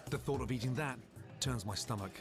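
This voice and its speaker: A man giving scripted voice-over narration for a food show, measured and wry. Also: medium pitch, loud music behind the voice.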